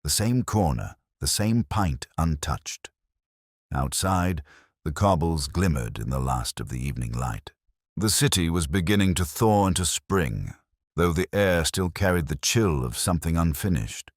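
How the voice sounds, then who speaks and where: A man playing a weary, melancholy older police detective, narrating his mystery case in measured, brooding, literary first person. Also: sits low, animated, dry recording, clean studio sound. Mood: wistful, resigned.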